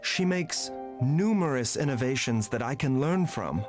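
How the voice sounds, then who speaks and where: An actor playing a calm, gentle, weary male doctor in a video game, speaking in a composed and formal way. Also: medium pitch, a little slow, music in the background, close to an everyday voice. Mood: calm, reflective.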